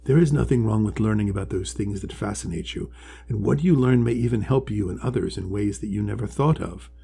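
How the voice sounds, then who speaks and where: A man voicing a huge, ancient, wise male sea creature from a storybook, friendly and measured, with a deep voice. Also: creaky, dark tone, a little hiss or hum. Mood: kindly, encouraging. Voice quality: deep, resonant. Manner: slow, measured, kindly pronouncements. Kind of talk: storybook character voice.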